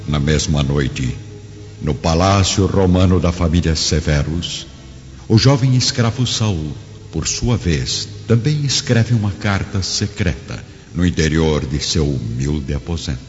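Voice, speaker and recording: A man's voice: deep voice, animated, unhurried. A man narrating a scripted radio drama in a solemn storytelling manner. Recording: dull audio, long reverb, music behind.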